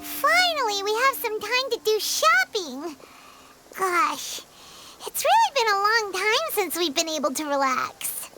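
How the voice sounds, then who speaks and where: A woman voicing a tiny, childlike fairy companion in a video game, chirpy, chatty and excitable. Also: extremely high pitch, measured pace, heavy background noise, heavily acted. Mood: cheerful. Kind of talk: cartoonish video game voice acting.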